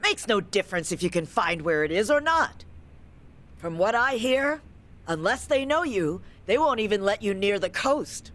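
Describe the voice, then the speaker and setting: A woman's voice: medium pitch, very animated, normal speed, a bit bright. An actress playing a cool, sceptical, knowing woman who advises the heroes in a video game. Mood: dismissive.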